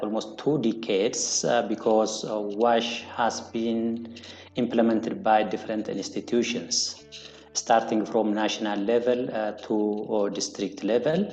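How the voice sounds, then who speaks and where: A man's voice: mid-range voice, darkish tone, echoey room, lo-fi sound. A man, a country director, giving a recorded statement with a hesitant delivery and many fillers.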